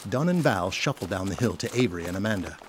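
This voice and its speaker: Male narrator for audio drama; lowish voice, expressive swings in pitch, scripted storytelling over music. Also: quick pace, slight background noise.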